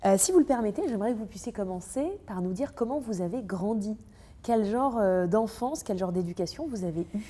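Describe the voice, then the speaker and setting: A woman's voice: medium pitch, lively intonation, fairly quick, mellow. A woman hosting a podcast interview, asking questions and affirming her guest. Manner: friendly, affirming, frequent agreement. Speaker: podcast host. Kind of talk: interview conversation. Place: ornate hall.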